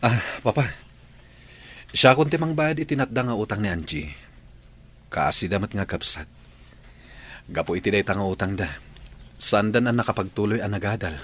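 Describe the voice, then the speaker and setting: A man's voice: fairly low pitch, expressive, moderate pace, long pauses, fairly bright tone, breathy edge. A man with an Ilocano Filipino accent playing an earnest, lovestruck grown son in a radio drama.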